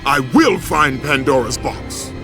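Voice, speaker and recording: An actor playing a proud, wrathful warrior in a video game, declaiming grave oaths and threats. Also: male voice, fairly high pitch, lively intonation, unhurried, over music. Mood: defiant rage. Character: vengeful, proud warrior man, fierce and wrathful. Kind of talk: dramatic video game acting.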